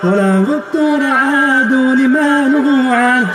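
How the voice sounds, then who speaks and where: A man reciting in a slow, drawn-out melodic chant, played back from a recording. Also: extremely high pitch, loud music behind the voice.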